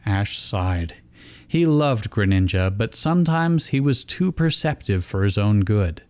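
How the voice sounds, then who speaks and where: A man's voice: lowish voice, expressive, measured pace, a little mellow, clear, resonant tone. Man narrating a fan fiction story. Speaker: reader and narrator.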